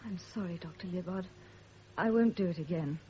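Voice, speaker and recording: An actress playing a devoted, anxious young wife in a radio drama, earnest and apologetic. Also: female voice, lowish voice, fairly quick, warm tone, natural voice. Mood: remorseful, anxious. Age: young adult. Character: anxious, devoted young pregnant wife, self-blaming and emotional. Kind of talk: period radio drama acting. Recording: dull, noisy old radio drama recording.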